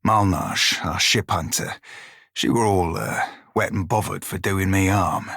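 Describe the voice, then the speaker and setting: Gruff raspy for a video game, twang, kinda low, sly and cocky, super dry sound. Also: male voice, unhurried, fairly bright tone, dry recording, heavily acted. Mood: sincere, fond. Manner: salty, drawling pirate dialect, sly and wry. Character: bawdy, roguish furry orlan pirate shiphunter, loyal and cocky. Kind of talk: video game character dialogue.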